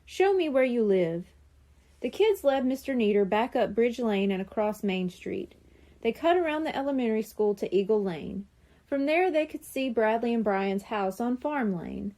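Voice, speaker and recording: Mellow, lively woman reading a children's story. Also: on the high side. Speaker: audiobook narrator. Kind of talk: scripted children's story narration.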